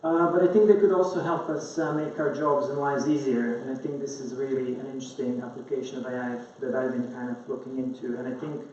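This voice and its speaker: Dull sound, faint noise, man, medium pitch, hesitant informal conference talk. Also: flat delivery, brisk, hardly any pauses, a little mellow. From Croatia. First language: Croatian. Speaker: conference speaker, science journalist. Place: indoor conference room. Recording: very echoey room, distant mic, dull, faint noise.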